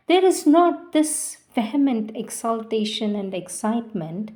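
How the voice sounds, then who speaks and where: Slow, mellow-voiced woman reading devotionally. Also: mid-range voice. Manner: measured, reverent, trailing softly at line ends. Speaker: devotional reader.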